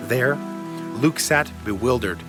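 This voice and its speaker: A male narrator tells a dramatic tale for an audio drama. His mid-range voice is expressive and suspenseful, and prominent music plays behind it. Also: mid-range voice, slow. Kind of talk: dramatic scripted storytelling.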